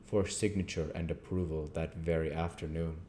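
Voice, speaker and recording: Deep, mellow male story narrator. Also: fairly even intonation, normal speed, a little hiss or hum. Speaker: audiobook reader.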